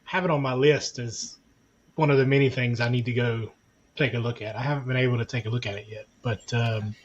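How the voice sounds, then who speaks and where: A man's voice: mid-range voice, steady tone, fairly quick, a little hiss or hum. A man in a casual podcast discussion, conversational and loosely rephrasing as he explains. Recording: slightly dull, lo-fi sound.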